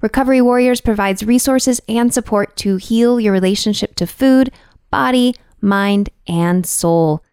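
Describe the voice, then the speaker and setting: Young woman host, casual and chatty, a touch raspy from a cold, recorded dry and close. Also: fairly high pitch, unhurried, darkish tone, no room sound. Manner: chatty, uses "like" a lot, self-deprecating. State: has a cold, slightly raspy. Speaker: podcast host. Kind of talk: casual podcast hosting.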